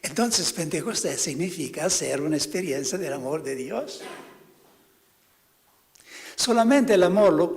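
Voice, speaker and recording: A man, a priest, giving a spiritual talk to fellow priests, halting and often stopping to search for words. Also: a little high, long reverb tail.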